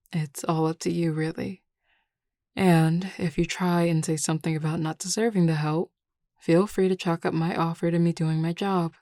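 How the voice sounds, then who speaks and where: A woman voicing a composed, teasing and reassuring werewolf pack leader in an audio roleplay, measured, assured and dryly wry. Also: fairly low pitch, dark tone, no room sound, studio quality, lightly acted. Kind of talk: intimate audio roleplay acting.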